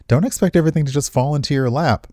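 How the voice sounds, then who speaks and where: A man's voice: on the high side, fast talker, warm tone, dry recording, studio quality, pitched above the performer's own voice, lightly acted. A man voicing a well-meaning but condescending, nostalgic older woman in visual novel dialogue, with a genteel, airy manner. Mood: patronising.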